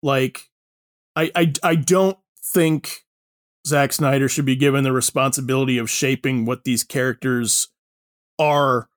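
A man's voice: mid-range voice, steady tone, unhurried, no echo, studio quality. A man hosting a casual panel chat, talking informally with the others. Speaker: podcast host.

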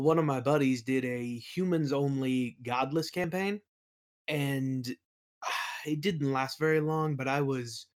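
A man speaking as an interview guest, explaining things in a casual, reflective way. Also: mid-range voice. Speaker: interview guest, game world builder.

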